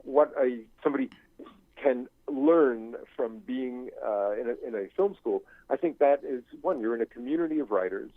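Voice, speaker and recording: An older man speaks as an interview guest through a narrow, phone-like line with no room sound. His pitch sits in the middle of the male range. He talks haltingly, searching for words and looping back over phrases as he explains. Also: no room sound. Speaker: guest, screenwriting professor and writer. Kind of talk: unscripted interview talk.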